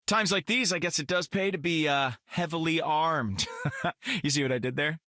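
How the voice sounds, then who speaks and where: An actor playing a cocky, wisecracking young space outlaw in a video game, flippant, slangy and sarcastic. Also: male voice, on the high side, moderate pace, sharp tone, no room sound, clean studio sound. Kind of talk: video game character lines.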